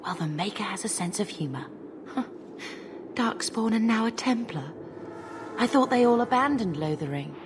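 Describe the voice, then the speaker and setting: An actress playing a young refugee mage, an earnest and dryly wry sister in a fantasy video game. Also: female voice, medium pitch, animated, moderate pace, long pauses, noisy background, close to an everyday voice.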